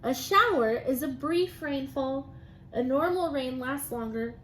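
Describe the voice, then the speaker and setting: Higher-pitched woman teaching young children. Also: unhurried, fairly bright tone, low-quality recording. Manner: encouraging, simple phrasing addressed to children. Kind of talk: read-aloud and science lesson for young children. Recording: noticeable background noise.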